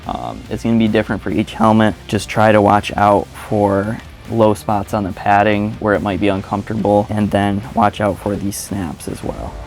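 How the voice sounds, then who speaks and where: A man's voice: fairly low pitch, level tone, normal speed, hardly any pauses, soft background music. A man giving a casual, step-by-step how-to in an informal, plain-spoken way, as a video presenter. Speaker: motovlogger presenting a how-to tutorial. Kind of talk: casual step-by-step instructional narration.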